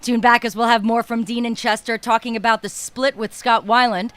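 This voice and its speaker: A woman reporter narrating an entertainment news segment in a smooth, upbeat scripted delivery. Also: fairly high pitch, steady tone, fairly quick, a bit bright.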